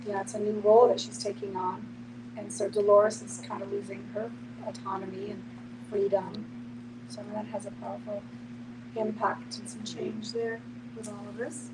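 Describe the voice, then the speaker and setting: A woman's voice: mid-range voice, flat delivery, normal speed. A woman explaining things casually and conversationally in a group discussion. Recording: distant mic with clear noise and hum.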